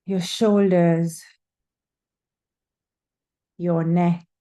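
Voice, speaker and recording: Calm woman talking people through a breathing meditation, super slow, dark mellow voice. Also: lowish voice. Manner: slow, soothing and drawn out, with long pauses. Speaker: meditation guide.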